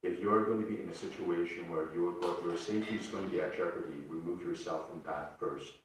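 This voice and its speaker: A man's voice: low voice, fairly even intonation, fairly quick, noisy background. A man speaking as a police officer at a public meeting, earnest and hesitant, repeating his key points. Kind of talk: public address. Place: public meeting room, away from mic. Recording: very echoey room, distant mic.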